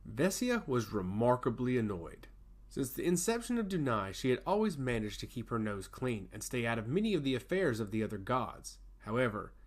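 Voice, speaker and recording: A man narrating a scripted fantasy story in a dramatic, emphatic way. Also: medium pitch.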